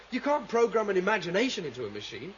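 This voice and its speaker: An actor playing a wary male rebel in hiding in a radio play, talking quickly, on a dull old recording. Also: high voice, huge pitch swings, slight background noise. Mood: hushed.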